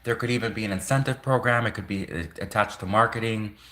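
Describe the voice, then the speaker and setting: A man's voice: fairly low pitch, fast, sharp tone. A man who is a native Russian speaker, talking casually on a livestream in an earnest, rambling way and repeating phrases for emphasis. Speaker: livestream host.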